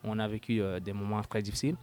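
A young man from Sudan in his twenties giving an earnest personal testimony as an interview guest, halting and searching for words, turning forceful when indignant. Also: lowish voice, little pitch movement. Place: at a housewarming gathering.

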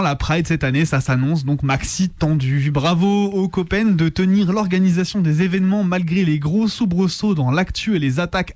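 A man's voice: a little high, a little mellow, dry recording. A man with a French accent co-hosting a radio show in an informal, slangy way. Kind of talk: informal radio commentary and presenting. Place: radio studio.

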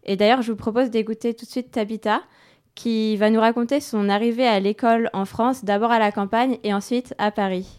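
Young female radio host, smooth high voice, conversational and informal, hesitating between links. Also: steady tone, a little fast, a little mellow. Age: young adult. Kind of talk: conversational presenting.

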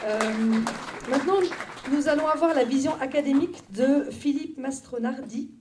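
A high-voiced woman introduces speakers at a public event with brief, polite words. She is picked up from some distance away, and a crowd and noise can be heard behind her. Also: moderate pace, darkish tone. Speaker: event moderator.

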